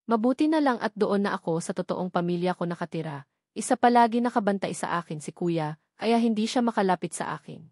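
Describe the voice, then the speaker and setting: A woman's voice: mid-range voice, animated, a little fast, a little mellow, no room sound, close to an everyday voice. Audiobook role: cold, resolute young woman, bitter and vengeful, read by a female narrator, very dry sound. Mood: cold, guarded.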